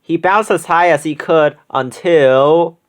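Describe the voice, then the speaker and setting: A storyteller reading a children's picture book aloud in an expressive way. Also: mid-range voice, takes it slowly, clear, resonant tone. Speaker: storybook reader.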